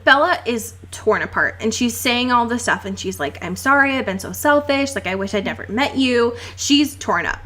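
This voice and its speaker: High-pitched, very animated young woman chatting. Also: normal speed, few pauses. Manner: giggly, animated retelling, frequent swearing. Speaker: podcast co-host. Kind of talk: casual comedic book discussion.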